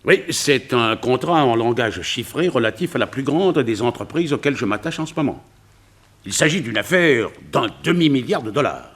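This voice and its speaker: An emphatic, earnest financier in a radio play. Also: male voice, medium pitch, expressive, normal speed, a bit bright, breathy edge. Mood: grave, emphatic. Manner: formal, precise, emphatic. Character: earnest, worried wealthy financier, formal and grave. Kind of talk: detective radio play acting.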